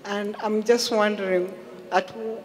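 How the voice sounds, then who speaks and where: A woman from Kenya asks her question in an inquiring tone, pausing now and then. Her voice sits at an ordinary pitch and echoes through a large hall. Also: steady tone. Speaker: audience member asking a question. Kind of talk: conference question. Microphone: handheld mic. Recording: reverberant conference hall.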